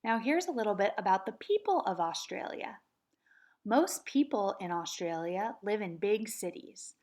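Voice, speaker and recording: A woman teaching kindergarten, reading a nonfiction book aloud and chanting words slowly for the children to repeat. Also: medium pitch, expressive, a little mellow. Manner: slow, patient, sing-song chanting of words for children to repeat. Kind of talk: read-aloud lesson for young children.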